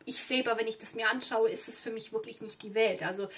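A woman's voice: a little high, steady tone, fast talker, fairly bright tone. A woman who is not a native German speaker, giving a casual, rambling tarot reading at a table indoors, with many fillers and asides. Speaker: tarot reader. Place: indoors at a table with candles and flowers.

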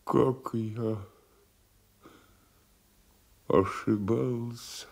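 A man's voice: mid-range voice, fairly even intonation, very slow, vocal fry, darkish tone, full character voice. An actor playing a broken old nobleman, blinded and full of remorse, in classical tragic style for a radio drama. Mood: remorseful, pleading. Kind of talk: classical stage tragedy acting for radio.

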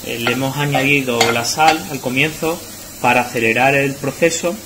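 A man's voice: mid-range voice, normal speed. A man, explaining a recipe step by step as a chef in a small tiled restaurant kitchen, often checking in with his viewers. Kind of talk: cooking demonstration. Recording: echoey kitchen with frying noise. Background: sizzling pan and kitchen ambience.

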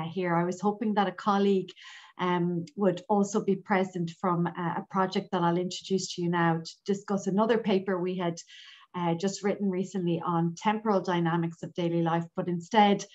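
A woman with an Irish accent giving an informal academic presentation over a video call, hesitating often. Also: medium pitch. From Ireland. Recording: dull lo-fi online call audio.

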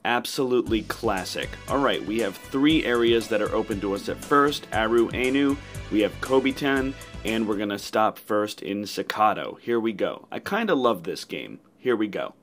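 A man's voice: on the low side, expressive, moderate pace, hardly any pauses, a bit bright, soft background music. A man hosting a casual video game playthrough commentary. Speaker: gaming channel host.

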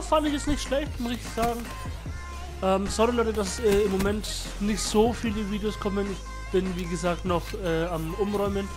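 A young man with a stuffy, congested nose from a cold talks loosely over game footage, dropping fillers and slang as he hosts his channel. Loud music runs under his voice the whole time. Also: sits high. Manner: laid-back slangy chat with many fillers. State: has a cold, blocked nose. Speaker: gaming channel host. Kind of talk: casual gameplay commentary.

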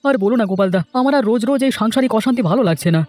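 A man's voice: extremely high pitch, animated, very fast, a little mellow, no echo, faint music behind. A man voicing a sulky, lazy village husband in a cartoon, in a whiny, evasive manner. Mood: sulky, aggrieved. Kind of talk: children's cartoon voice acting.

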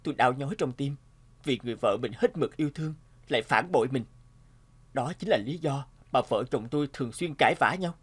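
A man playing a troubled, sentimental family man in an animated drama, speaking earnestly and reflectively. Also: medium pitch, a little fast, husky. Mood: pained.

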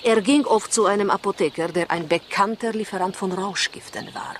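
An actress plays a poised female spy in an old radio thriller. She coaxes and gently talks down to her listener. The 1960s recording has a faint layer of noise. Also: medium pitch, brisk, lightly acted. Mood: confiding, matter-of-fact. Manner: coaxing, condescending endearments. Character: poised female Russian spy, coaxing and patronizing. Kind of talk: 1960s German radio crime play acting.

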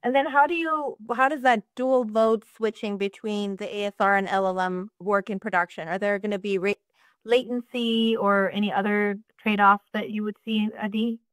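A woman's voice: mid-range voice, fairly even intonation, normal speed, dry recording. A woman in her thirties hosts a video call interview, reacting eagerly and putting direct questions to her guests. Her mid-range voice is dry and close on a laptop mic. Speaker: host, moderator. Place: indoors at home, virtual background. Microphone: webcam or laptop mic on a video call.

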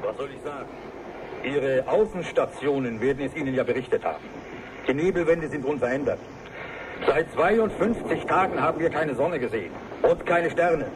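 Actor as bitter male mayor, high-pitched, emphatic pleading, radio drama, clearly noisy two-way link. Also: lively intonation, moderate pace, lightly acted. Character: desperate, bitter mayor of a starving town. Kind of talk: acted radio link interview.

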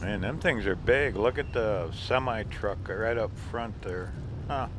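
A man's voice: lowish voice, measured pace. Man, travel vlogger, casual chatty, chuckles a lot, camera mic, light background noise. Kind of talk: casual narrated travel vlog. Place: outdoors and in a car on a ferry.

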